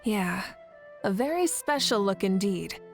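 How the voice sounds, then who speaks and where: Brief: a composed leader, very slow and easy delivery, light teasing, faint music. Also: female voice, mid-range voice, animated, vocal fry. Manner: unhurried, warmly teasing.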